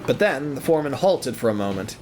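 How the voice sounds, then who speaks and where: A man's voice: medium pitch, sing-song, a little fast, darkish tone, faint music behind. A man narrating an audio drama in a scripted, dramatic storybook style.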